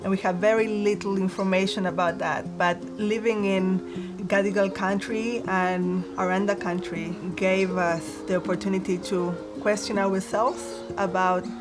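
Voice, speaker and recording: A woman reflects on her work in an earnest, searching way. She often uses "you know" and "like" as she looks for words. Instrumental music plays clearly under her voice. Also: medium pitch, flat delivery, moderate pace. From Argentina. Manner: warm, earnest, with many fillers. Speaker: textile artist. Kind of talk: reflective interview.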